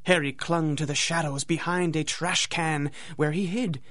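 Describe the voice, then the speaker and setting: A man's voice: on the high side, steady tone, moderate pace, a bit bright, natural voice. A man narrating a radio drama in a theatrical, dramatic storytelling manner.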